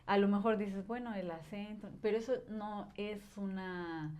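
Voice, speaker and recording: A woman's voice: medium pitch, fairly even intonation, a little slow, darkish tone. A woman, a language educator and podcast guest, explaining at length in casual conversation with frequent filler words.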